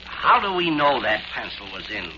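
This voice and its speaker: A man's voice: medium pitch, sing-song, normal speed, close to an everyday voice. An actor playing an anxious male colleague in a 1940s radio drama, pressing hard for answers. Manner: insistent, pressing. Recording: dull lo-fi 1940s radio recording with hiss.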